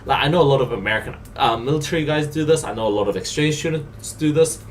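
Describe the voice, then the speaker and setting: A man's voice: fairly high pitch, fast talker. A man from South Korea talking casually and bluntly on a podcast, emphatic and repeating his points. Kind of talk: casual opinionated podcast talk. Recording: slightly distant mic, faint noise.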